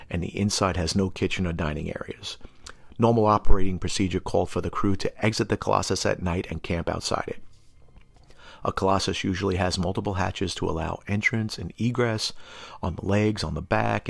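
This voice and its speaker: A man's voice: deep voice, creaky, slightly rough, dry recording. A man presenting tabletop gaming lore, reading scripted narration and adding casual, mildly wry asides in an explanatory way.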